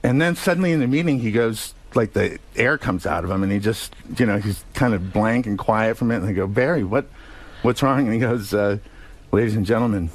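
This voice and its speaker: A man telling casual anecdotes as an interview guest, hesitant and halting, with many ums and self-corrections. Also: on the low side. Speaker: radio show guest, TV comedy writer-producer.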